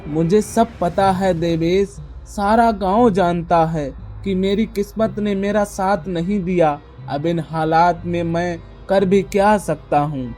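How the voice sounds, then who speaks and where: A woman's voice: on the low side, steady tone, slow pace, mellow, soft background music, pitched below the performer's own voice. A woman voicing a humble, dejected village man, an earnest elder brother, in a Hindi cartoon moral story. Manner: earnest, pleading.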